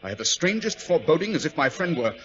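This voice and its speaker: An actor playing a courteous, brooding English gentleman detective with an upper-class accent, crisp and pensive, in a 1940s radio drama. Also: on the high side, sing-song, fast. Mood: brooding, uneasy. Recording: muffled vintage radio broadcast with music.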